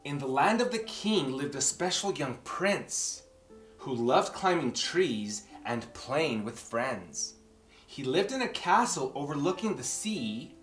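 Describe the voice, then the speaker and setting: Animated, slow-paced man hosting children's story time. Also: mid-range voice, soft background music. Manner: theatrical, exclamatory, addresses children directly. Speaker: host of a children's book club and story time. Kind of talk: animated children's book presenting and read-aloud. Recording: roomy echoing room sound.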